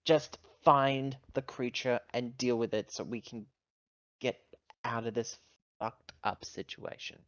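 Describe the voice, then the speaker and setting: A woman's voice: rumbling low, fairly even intonation, measured pace, no echo, highs cut off. A woman playing a hard-bitten, angry crew woman in a tabletop game, speaking in a curt, commanding way. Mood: weary, irritated.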